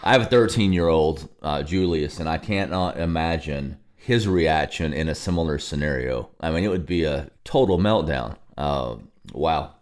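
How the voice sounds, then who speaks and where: A man in his forties hosts a relaxed interview with a very low voice. He asks short, curious follow-up questions and laughs lightly along the way. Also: moderate pace, few pauses. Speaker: interview host. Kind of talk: casual interview conversation.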